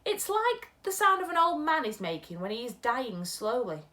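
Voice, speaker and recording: A woman's voice: fairly high pitch, sing-song, moderate pace, bright, forceful tone, pitched above the performer's own voice. A woman voicing a kindly, earnest big friendly giant who talks in quirky broken grammar.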